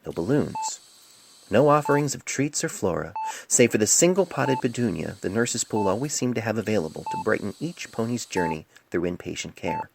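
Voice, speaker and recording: A man reading a scripted story as an amateur narrator, measured and literary, recorded on a cell phone microphone. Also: fairly low pitch, animated, vocal fry, background noise.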